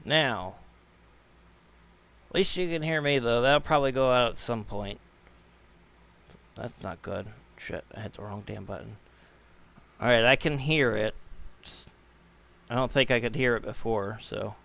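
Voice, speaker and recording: A man's voice: mid-range voice, measured pace, gravelly, long pauses, fairly bright tone. A man hosting a live stream with casual commentary, informally muttering his way through things aloud.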